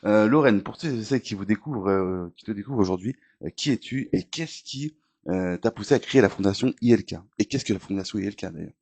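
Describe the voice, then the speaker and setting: A man's voice: fairly low pitch, quick pace, a little mellow, husky. A man hosting a filmed interview, chatty and jokey, with frequent fillers. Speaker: host.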